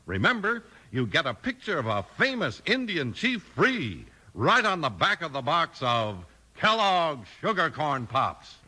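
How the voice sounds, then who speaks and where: A man's voice: fairly high pitch, big pitch swings, unhurried, few pauses, sharp tone, a little hiss or hum. An American man doing scripted, emphatic 1950s radio announcing and narration in a polished manner.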